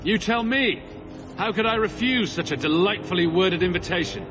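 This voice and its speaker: A man's voice: high voice, moderate pace, in a large hall, over music, natural voice. A man voicing a sarcastic young mage hero in a video game, with a dry manner. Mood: dry, defiant.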